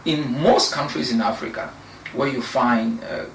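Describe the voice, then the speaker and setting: A young man from Cameroon, a law researcher, giving measured, argumentative answers as an interview guest, with pauses and restarts. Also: medium pitch, distant mic. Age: young adult. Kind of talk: interview discussion. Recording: echoey room, voice off-mic, faint noise.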